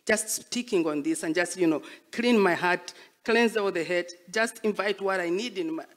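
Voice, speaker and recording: A woman's voice: fairly low pitch, moderate pace. Female artist interviewee, reflective storyteller, emphatic with repeated phrases. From Rwandan, born and raised as a refugee abroad.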